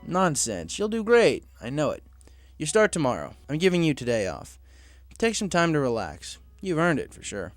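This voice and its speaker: An encouraging male pony boss from an audio storybook, acted out by a man. He sounds assured and casual, with a very lively intonation over soft music. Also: a little high, normal speed, no room sound, voice pushed up.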